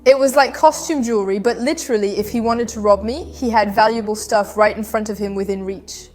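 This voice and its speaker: A woman telling her own story in a casual interview, animated and conversational, with many fillers. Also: medium pitch, fairly quick. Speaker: guest.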